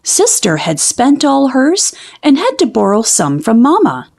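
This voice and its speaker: A woman reading a children's storybook aloud as an expressive narrator. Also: high voice, measured pace, vocal fry.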